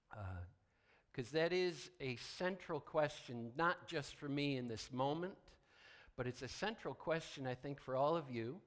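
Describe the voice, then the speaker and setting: A man preaching an informal sermon as a pastor, conversational and folksy with wry asides. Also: medium pitch. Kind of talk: informal sermon, partly read from notes.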